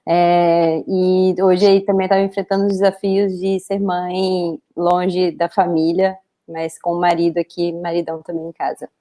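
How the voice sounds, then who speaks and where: A woman in her thirties from Belo Horizonte with a Mineiro accent, introducing herself with soft giggles. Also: fairly low pitch, little pitch movement, unhurried. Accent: Mineiro Portuguese. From Belo Horizonte, Brazil. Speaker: guest. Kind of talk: webinar self-introduction. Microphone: video call.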